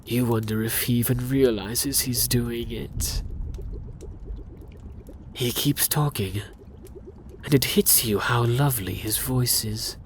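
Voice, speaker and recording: A man narrating a scripted second-person fantasy story for ASMR in a hushed, intimate, dramatic way. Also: medium pitch, a little hiss or hum.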